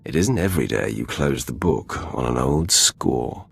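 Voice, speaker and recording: Very deep, gravelly dark warrior lord. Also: male voice, lively intonation, full character voice. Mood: composed. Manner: measured, formal, authoritative. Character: commanding, composed male dark-side warrior lord. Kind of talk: video game dialogue acting.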